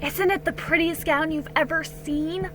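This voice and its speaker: A woman voicing an excitable, sweet young girl, exclamatory and breathless with wonder, in a dramatic storybook reading. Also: sits high, a little slow, noisy background, pitched above the performer's own voice. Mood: excited. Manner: gasping, exclamatory.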